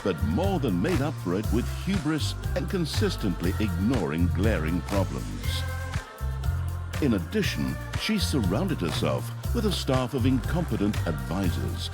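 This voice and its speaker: Male game narrator, mock-epic and wry, sweeping highs and lows, music underneath. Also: wildly swooping pitch, measured pace. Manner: theatrical, mock-grand storyteller with wry delivery. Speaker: narrator of a fantasy video game. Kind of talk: scripted comedic story narration.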